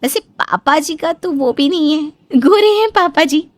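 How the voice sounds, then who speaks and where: A woman's voice: high voice, animated, measured pace. A woman voicing a blunt, bossy young daughter-in-law in a comic family story. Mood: blunt, defensive. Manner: sassy, blunt.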